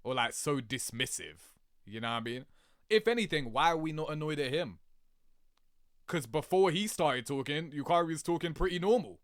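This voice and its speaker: A man giving casual, rambling reaction commentary in an animated, slangy, sweary way, laughing easily. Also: on the high side, normal speed, no echo, studio quality. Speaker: video creator reacting to game cutscenes.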